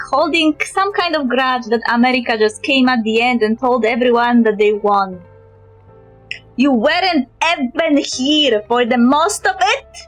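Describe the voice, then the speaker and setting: Woman, Polish accent, high voice, slow pace, casual streamer chat, joking, opinionated. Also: fairly bright tone. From Poland. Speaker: VTuber game streamer.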